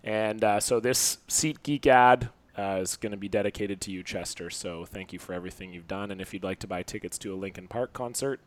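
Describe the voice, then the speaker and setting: A man's voice: on the low side, fairly quick, hardly any pauses, no room sound. A man co-hosting a podcast, telling rambling, profane stories in casual comedic chat. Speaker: podcast co-host.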